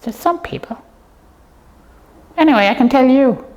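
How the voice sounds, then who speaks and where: A woman's voice: high voice, animated, moderate pace, a little mellow, lo-fi sound. A woman presenting a puppet show in casual comic chat, explaining things in a rambling, hesitant way.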